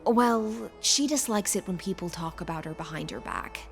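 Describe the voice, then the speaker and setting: An actress playing a composed, proud knight commander in a game, speaking in a formal, measured, courtly way. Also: female voice, mid-range voice, lively intonation, gravelly, music in the background. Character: composed proud female knight commander, loyal imperial servant. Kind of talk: scripted game dialogue.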